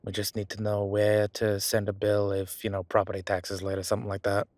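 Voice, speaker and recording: A man's voice: lowish voice, monotone, a little fast, darkish tone, dry recording, natural voice. A man playing a chatty, sardonic records clerk who rambles wryly in a roleplay audio drama. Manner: rambling, wry, exaggerated feigned innocence.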